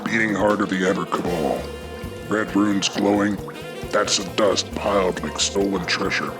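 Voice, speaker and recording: Man playing a grim dragon detective, lowish voice, unhurried, terse noir radio narration, menacing, loud music behind. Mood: brooding narration. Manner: terse, brooding, menacing delivery.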